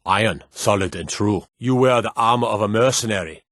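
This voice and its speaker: An actor playing a blunt, suspicious city guard in a fantasy video game, with folksy, old-fashioned turns of phrase. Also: male voice, fairly low pitch, fairly quick, fairly bright tone, dry recording, studio quality. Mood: admiring. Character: gruff, wary male city guard in a fantasy realm. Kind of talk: video game character barks.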